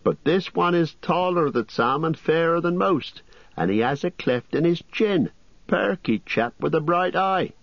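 A man voicing a fussy, apologetic middle-aged innkeeper who chatters and rambles, with a husky voice. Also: a little high, animated, unhurried, dry recording, dull audio, pitched above the performer's own voice, heavily acted. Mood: fussy, rambling.

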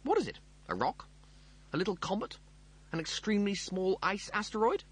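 A man voicing a pompous, wordy, slow-witted spaceship crewman in an audiobook. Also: high voice, sing-song, husky, voice pushed up, heavily acted. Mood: indulgent curiosity.

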